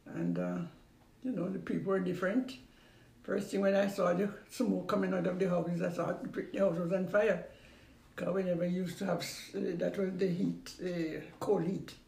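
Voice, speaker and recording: A woman in her eighties with a Jamaican accent, recalling her past in an unhurried way that repeats phrases and trails off. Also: lowish voice, steady tone, vocal fry, warm tone. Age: 80s. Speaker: interviewee. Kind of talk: casual recollection. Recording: roomy recording with faint background noise.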